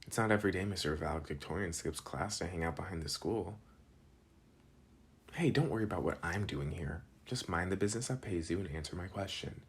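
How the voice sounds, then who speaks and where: A young man playing a protective, teasing but soft-hearted school delinquent in an intimate, casual ASMR boyfriend roleplay. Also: deep voice, brisk, slightly rough, exaggerated voice.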